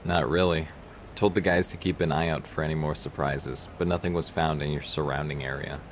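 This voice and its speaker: Deep-voiced, determined male detective. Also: steady tone, fairly quick, slight background noise. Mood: reassuring. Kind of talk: audio drama acting.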